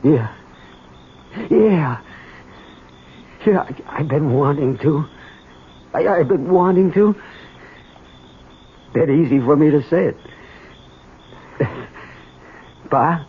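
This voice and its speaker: A man's voice: on the high side, exaggerated voice. An actor playing an anxious, honest young son in a 1970s radio drama, stammering and repeating his words with emotion. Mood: choked, pleading. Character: anxious, earnest grown son, honest working Joe. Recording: dull, faintly noisy 1970s radio recording.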